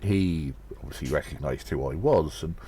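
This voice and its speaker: A man playing an earnest undercover gnome agent in an audio drama, reporting in a measured, halting, formal and conspiratorial way. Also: very deep voice, mellow, a little hiss or hum. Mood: confiding, measured. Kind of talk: first-person audio drama monologue, spoken report.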